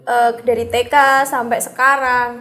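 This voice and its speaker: A young woman in her twenties from Grobogan answers interview questions. She speaks softly and a little shyly, in a fairly high voice. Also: flat delivery, unhurried. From Grobogan, Central Java. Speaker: guest.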